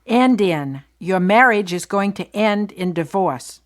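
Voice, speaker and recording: Slow woman reading lesson phrases. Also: mid-range voice, expressive. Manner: deliberate, clearly enunciated. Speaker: phrase reader. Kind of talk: language-lesson recitation of phrases.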